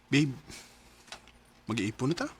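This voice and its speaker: An actor playing an eager, emotive young boyfriend in a radio drama, with a Cebuano accent. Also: male voice, mid-range voice, wildly swooping pitch, a little slow, a little hiss or hum. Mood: wooing. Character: Roy, eager young boyfriend, affectionate son and brother.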